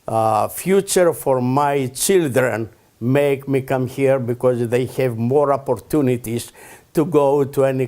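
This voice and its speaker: An older man from Greece with a Greek accent, speaking halting broken English in an oral history interview, with many pauses and fillers. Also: mid-range voice, a little mellow. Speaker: interview guest.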